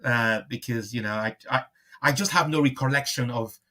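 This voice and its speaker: A man gives a reflective, conversational interview as the guest. His voice sits at a medium male pitch, and he often repeats a phrase before carrying on, rewording his thought as he goes. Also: normal speed, bright, forceful tone. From born in Liverpool, moved to Nigeria at five.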